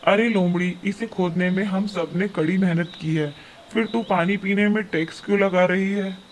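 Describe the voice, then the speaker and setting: A woman's voice: medium pitch, fairly even intonation, normal speed, slight background noise, pitched below the performer's own voice, close to an everyday voice. A woman voicing an indignant jungle animal in a children's cartoon story, challenging and aggrieved.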